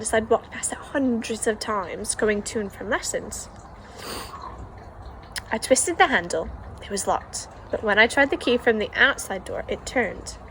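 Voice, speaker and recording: A woman's voice: mid-range voice, a little hiss or hum, rough recording. A woman reading a children's novel aloud as the first-person narrator, telling the story expressively. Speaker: audiobook reader.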